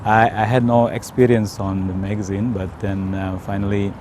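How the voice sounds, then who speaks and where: A man from Indonesia with an Indonesian accent, telling his personal story in a hesitant, careful way with frequent pauses. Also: fairly low pitch, level tone, background noise. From Indonesia, South Sumatra. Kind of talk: unscripted personal testimony.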